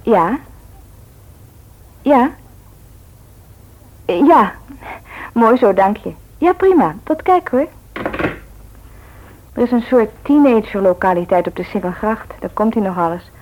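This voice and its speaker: An actress playing a caring, frank young medical student in a radio drama, earnest and slightly hesitant. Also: female voice, a little high, animated, takes it slowly, long pauses. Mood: brisk, helpful. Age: young adult. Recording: thin, phone-like old radio recording.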